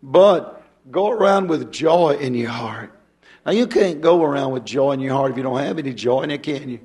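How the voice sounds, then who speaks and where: A hoarse male pastor, talking folksy and rambling, then reading scripture in a rising preaching cadence. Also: mid-range voice, expressive, moderate pace, a little mellow.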